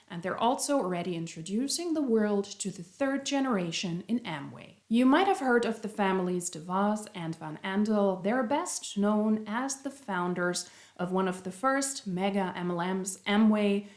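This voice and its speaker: Woman host, stuffy nose from a cold, chatty explainer, mid pitch, recorded in an echoey room. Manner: chatty, self-deprecating, explains step by step. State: has a cold, slightly nasal. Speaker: YouTube commentary host. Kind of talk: casual explainer commentary. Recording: roomy echo.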